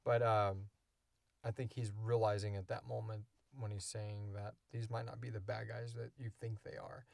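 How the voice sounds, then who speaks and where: A man's voice: lowish voice, flat delivery, normal speed, creaky, darkish tone, dry recording. A man hosting a podcast, answering questions in casual, unscripted talk from a small booth. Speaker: podcast host and creator. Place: small enclosed recording booth.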